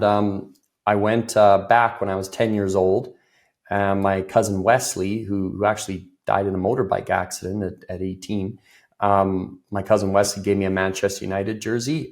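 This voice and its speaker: A man's voice: sits low, little pitch movement, moderate pace. A man from Ireland, speaking as an interview guest with a voice slightly off from a cold, chatty and full of fillers. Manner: loose, filler-heavy, laughs easily. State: recovering from a cold, voice a bit off. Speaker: guest, business consultant. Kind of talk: conversational interview.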